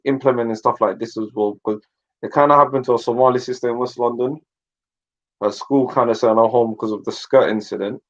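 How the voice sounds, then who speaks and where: A man hosting a casual online discussion, pushy and teasing, mixing in Somali and Arabic words. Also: medium pitch. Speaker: host. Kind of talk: casual chat. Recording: dull lo-fi online call audio.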